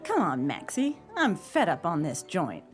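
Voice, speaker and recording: Lively, scheming gangster moll played by an actress. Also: female voice, lowish voice, unhurried, over music. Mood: fed up, cool. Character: Cora, dangerous gangster moll, bossy and scheming. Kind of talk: 1930s pulp radio play acting.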